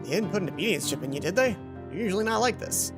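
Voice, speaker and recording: A woman's voice: medium pitch, expressive, very fast, lightly acted. A woman voicing a friendly bearded man in a video game, a worried robot caretaker who talks in a casual, hesitant, buddy-like way over music. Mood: puzzled. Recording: music behind the voice.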